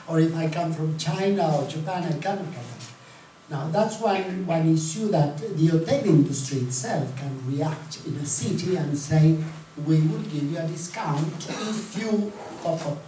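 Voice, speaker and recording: Man from Chile, panelist, explanatory with fillers, mellow voice, far from the mic in an echoey hall. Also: on the high side, normal speed. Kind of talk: panel discussion answers. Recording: echoey hall, distant mic, faint noise.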